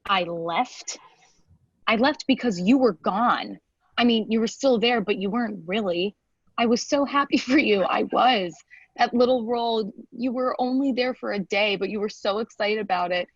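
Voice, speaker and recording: A woman playing a hurt, blunt lawyer speaking to an ex in a naturalistic screenplay table read, halting and emotional. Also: fairly high pitch, no echo, lo-fi sound. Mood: hurt, reproachful. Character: hurt, blunt ex-partner, a hard-working lawyer.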